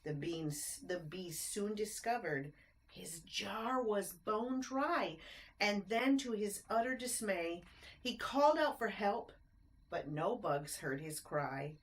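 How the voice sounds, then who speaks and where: A woman reading a rhyming picture book aloud to children, expressive, with chatty explanatory asides and simple questions for the listeners. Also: mid-range voice, takes it slowly.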